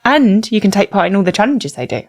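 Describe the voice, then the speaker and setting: A woman's voice: mid-range voice, sing-song, fast, no room sound, clean studio sound. A woman chatting casually as a mother co-hosting children's story time, encouraging, asking questions and explaining words simply. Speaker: co-host, mother of the young reader.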